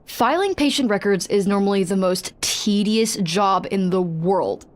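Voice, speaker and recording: A woman's voice: mid-range voice, lively intonation, measured pace, natural voice. A woman voicing a dry, sardonic non-human assistant who files patient records, in a video game. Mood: wry.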